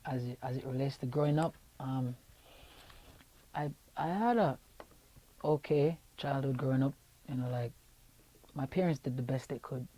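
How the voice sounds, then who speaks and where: A man's voice: mid-range voice, normal speed, pauses often, dark tone, poor audio. A young Jamaican man in an interview, talking in an easygoing way with lots of fillers and repeated words, on a lapel mic in a studio room. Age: young adult. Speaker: singer being interviewed. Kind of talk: relaxed interview chat. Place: radio station studio room.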